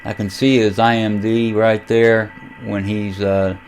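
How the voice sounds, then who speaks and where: Low, even-toned American man. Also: a little hiss or hum. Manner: halting, thinking aloud while operating. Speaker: amateur radio vlogger demonstrating software. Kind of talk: casual unscripted screen tutorial.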